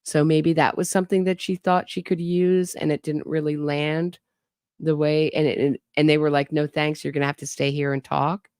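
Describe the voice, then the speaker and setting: A woman's voice: low voice, little pitch movement, normal speed, a little mellow, clear, resonant tone, dry recording. Skeptical woman talking true crime, wry, chatty, super dry sound. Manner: wry, skeptical, talks to her audience. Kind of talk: casual commentary over interrogation clips.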